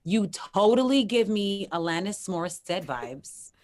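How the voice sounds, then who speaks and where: A woman hosting an online open mic, raspy voice, gushing casually with many restarts. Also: medium pitch, lively intonation, unhurried, a little mellow. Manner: effusive, chatty with many restarts. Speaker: host. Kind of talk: casual chat.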